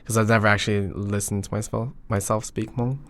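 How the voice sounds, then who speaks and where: A man's voice: lowish voice, little pitch movement, a little fast, a bit bright. A young man hosting a casual podcast, chatting in an informal, slangy, teasing way. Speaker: podcast host.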